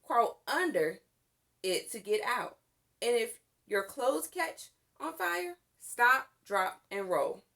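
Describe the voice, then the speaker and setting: Woman playing storybook fire chief, male character, firm instructor, emphatic, lively intonation, measured pace. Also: fairly high pitch, creaky, full character voice. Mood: instructive.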